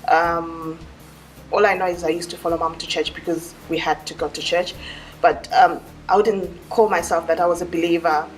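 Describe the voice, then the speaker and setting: A woman from Zimbabwe tells her faith story in a calm, measured way. Her voice is on the low side. She sounds a little far from the mic, with faint music underneath. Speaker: church member giving baptism testimony. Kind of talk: personal testimony.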